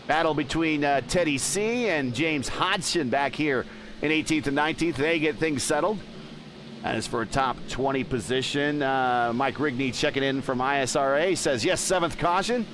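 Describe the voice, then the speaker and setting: Male lead race caller, excitable play-by-play, reads chat aloud, faint background hiss. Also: on the high side, moderate pace. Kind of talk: live sim racing commentary.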